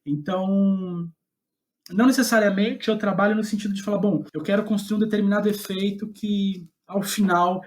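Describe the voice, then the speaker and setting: A man's voice: high voice, steady tone, normal speed, a little mellow. Thoughtful Brazilian man in conversation. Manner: hesitant, thoughtful, often reformulating. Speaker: guest playwright. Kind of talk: reflective interview conversation.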